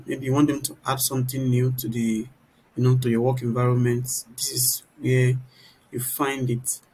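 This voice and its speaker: A man giving an informal, explanatory software tutorial, often turning statements into little rhetorical questions. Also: mid-range voice, poor audio. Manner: explanatory, poses rhetorical "what?" questions mid-sentence. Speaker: tutorial presenter. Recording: clear background noise.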